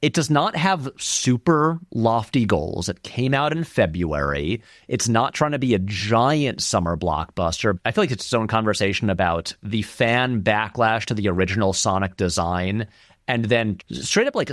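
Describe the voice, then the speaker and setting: Male interview guest, animated and conversational, mid-pitched, loose phrasing with restarts, dry recording. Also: no echo. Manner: animated, acts out short quotes in passing; filler-heavy, frequent "like" and restarts. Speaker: interview guest, film video essayist. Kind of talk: casual conversational film commentary.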